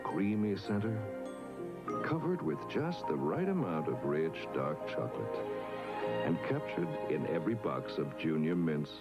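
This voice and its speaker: A man giving a scripted voice-over for a candy commercial, with a slow, savoring delivery. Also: a bass voice, very animated, dull audio, over loud music, full character voice. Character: smooth deep-voiced male candy ad announcer. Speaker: commercial announcer.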